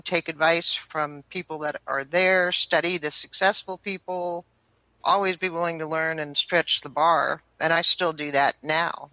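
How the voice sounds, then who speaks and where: Bright-voiced chatty American woman. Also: low-pitched, no echo, muffled recording. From United States. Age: 50s. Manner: chatty, rambling with fillers. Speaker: singer-songwriter guest. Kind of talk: casual interview chat.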